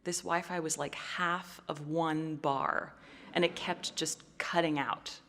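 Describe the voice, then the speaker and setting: Female casual storyteller, slightly low voice, informal phrasing, hall reverb. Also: fairly even intonation, slow, a bit bright. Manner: informal, peppered with "like". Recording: reverberant, heard in large hall.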